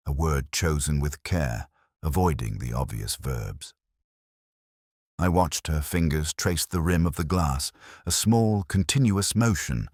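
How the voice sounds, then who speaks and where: Man acting melancholy detective narrator, very low voice, expressive rise and fall, measured, literary, scripted radio mystery. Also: slightly rough, no echo, studio quality, full character voice. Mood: pensive. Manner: measured, literary, brooding delivery.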